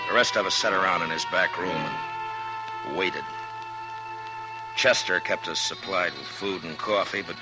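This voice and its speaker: An actor playing a stern, steady American marshal of the Old West in 1950s radio western style, speaking firmly and deliberately. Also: male voice, deep voice, rough recording. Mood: measured narrating. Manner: firm, deliberate, commanding. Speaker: lead actor and first-person narrator. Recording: old radio broadcast with music.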